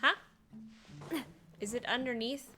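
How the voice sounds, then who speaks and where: A woman's voice: fairly high pitch, fairly even intonation, slow, sharp tone. A woman game streamer casually reacting as she plays. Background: game music.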